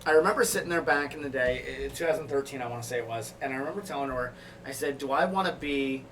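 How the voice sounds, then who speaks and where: A man's voice: mid-range voice, quick pace, recorded from a distance, crowd noise, rough recording. A man, a firefighter, talking as a podcast guest, telling a personal story in a candid, reflective, casual way. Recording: off-mic with clear background noise.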